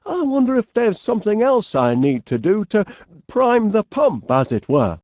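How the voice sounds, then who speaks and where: An actor playing a cheerful, eccentric middle-aged English inventor with a Northern English accent, chummy and old-fashioned in his phrasing, in an animated video game. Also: sits high, moderate pace, dry recording, studio quality, full character voice. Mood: puzzled musing.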